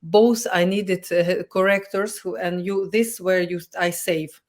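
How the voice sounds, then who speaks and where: Flat-voiced woman host, halting phrasing. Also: fairly low pitch. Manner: halting, searching for words, non-native phrasing. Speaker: podcast host. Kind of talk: casual interview chat.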